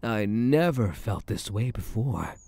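A man's voice: lowish voice, animated, slow, creaky, darkish tone, no room sound, exaggerated voice. A man playing a smug, possessive mafia boss in an intimate roleplay, flirtatious and menacing, with a teasing, commanding manner. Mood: confiding. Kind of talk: intimate ASMR roleplay acting.

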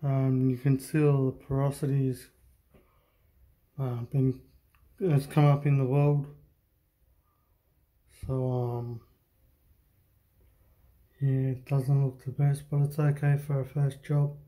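A man, talking casually and haltingly about his workshop repair, with many pauses and ums, filmed close up on a handheld phone in an indoor workshop. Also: mid-range voice, flat delivery, dark tone. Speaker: hobby boat mechanic. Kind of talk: casual workshop show-and-tell. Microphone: handheld phone camera, close-up.

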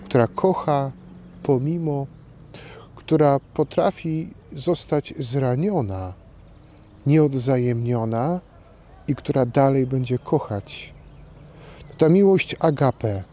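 A man in his forties, a priest, delivering a short homily in a measured, deliberate way with pauses. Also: mid-range voice, dark tone. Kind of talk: short scripted homily to camera. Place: outdoors in a park. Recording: outdoor background noise.

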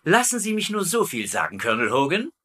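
Vain comedy commandant: high, swooping, self-important, easily flattered. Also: male voice, fast talker, exaggerated voice. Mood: smug, gloating. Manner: pompous, repeating tag questions. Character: vain, pompous, gullible German camp commandant, middle-aged. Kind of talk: dubbed sitcom acting.

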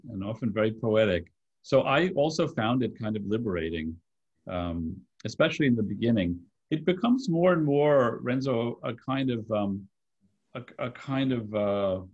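A man's voice: mid-range voice, darkish tone. A middle-aged man moderating a conversation on a video call, thoughtful and articulate, with occasional restarts. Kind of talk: interview moderation. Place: home study lined with bookshelves.

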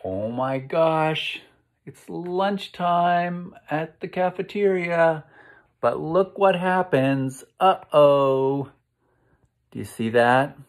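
An American man in his fifties reading a picture book aloud to children in a slow, expressive, encouraging way, pausing to ask them questions. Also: mid-range voice. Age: 50s. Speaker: children's read-aloud host. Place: indoor room at home.

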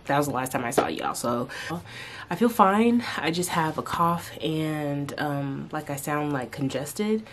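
A woman's voice: deep voice, lively intonation, measured pace, a little hiss or hum. A young woman vlogging casually from her bedroom, talking close to the camera and rambling with lots of "like" and "um". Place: bedroom at home, indoors. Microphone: camera close in front of her face.

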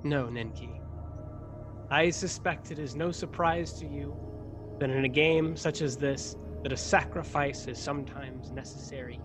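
A young man playing a resolute, self-sacrificing starship ensign in a science-fiction radio play, speaking formally and with measured phrasing. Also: fairly high pitch, music in the background, close to an everyday voice. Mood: resigned. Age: young adult. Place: indoors at home, video call. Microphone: desk condenser microphone, close.

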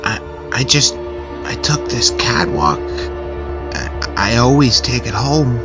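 Man acting a halting, frightened young victim. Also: medium pitch, sing-song, over loud music. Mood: shaken, tearful. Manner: halting, stammering. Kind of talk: horror comic dub acting.

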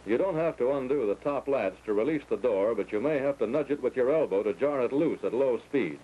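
A man's voice: medium pitch, animated, brisk. An American man giving scripted, step-by-step instruction as a flight instructor in a 1940s training film. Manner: clear, orderly step-by-step instructing. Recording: muffled, noisy 1940s film soundtrack.

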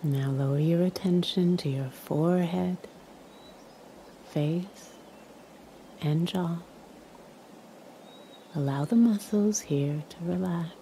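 A woman leading a guided meditation in a calm, soothing, measured way, leaving long pauses. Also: lowish voice, slight background noise.

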